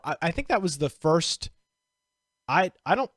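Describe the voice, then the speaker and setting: A man's voice: on the high side, very animated, measured pace, fairly bright tone, no echo, clean studio sound. A man hosting a gaming podcast in casual chat, upbeat and teasing his co-host. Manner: upbeat, playful ribbing.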